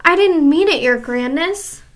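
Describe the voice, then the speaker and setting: A woman's voice: very high voice, lively intonation, measured pace, sharp tone, voice pushed up, full character voice. A woman voicing a frightened female witch who pleads for mercy. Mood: pleading. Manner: pleading, trembling.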